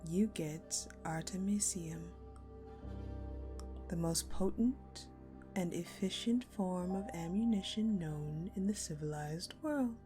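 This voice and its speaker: Casting: actress for cruel, commanding female general, dark roleplay audio; slightly low voice, very measured delivery, mockingly sweet, faint music underneath. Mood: cool, calculating. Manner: deliberate, condescending, mocking, with dramatic pauses. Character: sadistic, coldly menacing ice-queen general, commanding and mockingly sweet. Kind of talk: dark audio roleplay acting, addressed to the listener.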